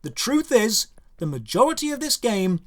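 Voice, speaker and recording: A man with a notably high-pitched voice delivers a scripted video game review as voice-over. His delivery is dry and sarcastic, leaning into theatrical emphasis to make a point. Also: sing-song, normal speed, fairly bright tone.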